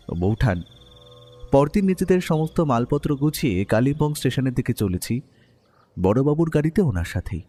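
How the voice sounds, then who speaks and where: An actor playing a detective's amiable friend, narrating an audio story in the first person with an expressive delivery. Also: male voice, medium pitch, lightly acted.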